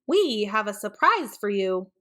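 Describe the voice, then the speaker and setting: A woman reading a storybook, voicing an excitable boy showman who calls out like an announcer. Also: medium pitch, a little slow. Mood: eager announcing. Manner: calling out like an announcer.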